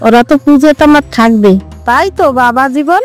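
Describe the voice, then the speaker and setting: A woman's voice: high voice, fairly quick, over music, natural voice. A woman voicing a hospitable, doting older mother-in-law who coaxes in a motherly way in a comedy cartoon. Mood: motherly, firm. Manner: coaxing, motherly.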